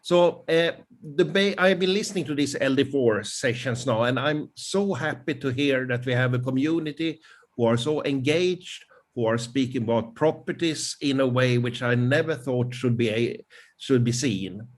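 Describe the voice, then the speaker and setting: A man's voice: medium pitch, moderate pace. Swedish-accented older man presenting, hesitant. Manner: hesitant, many fillers. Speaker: conference presenter. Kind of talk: informal presentation. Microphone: video call.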